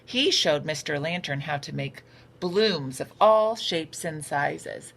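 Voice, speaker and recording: A woman reading a picture book aloud for children in an expressive, unhurried storytelling manner. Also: low voice, creaky. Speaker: storytime reader. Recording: noticeable background noise.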